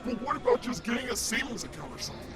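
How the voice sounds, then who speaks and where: A man as a high, quick-talking casual villain. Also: big pitch swings. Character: casual, flirty submarine-dwelling male supervillain. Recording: loud music over the voice.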